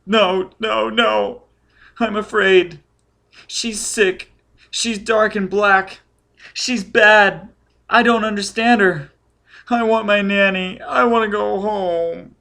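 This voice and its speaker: A man's voice: takes it slowly, hardly any pauses, pushed and bright, exaggerated voice. A man voicing a frightened, homesick small boy who whimpers and pleads in an audiobook story. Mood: terrified, pleading. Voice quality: high, whimpering. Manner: pleading, childlike repetition.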